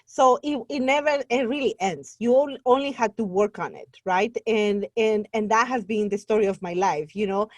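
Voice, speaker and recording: A woman's voice: mid-range voice, normal speed, hardly any pauses, no echo, studio quality. A middle-aged woman from Guatemala with a Spanish accent, a native Spanish speaker, telling her story as an interview guest in an earnest, talkative way. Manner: earnest, talkative, laughs easily. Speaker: guest, online Spanish teacher.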